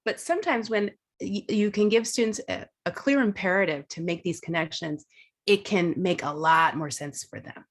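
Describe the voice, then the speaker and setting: A woman's voice: lowish voice, normal speed. Woman presenting at a teaching workshop. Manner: casual, thinking aloud with fillers and restarts.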